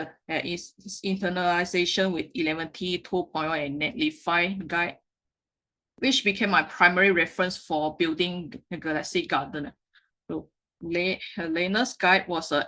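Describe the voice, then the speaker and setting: A woman, a Mandarin speaker, giving a slide presentation with frequent halting self-corrections. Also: lowish voice, a bit bright. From Malaysia. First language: Mandarin Chinese. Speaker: meetup speaker.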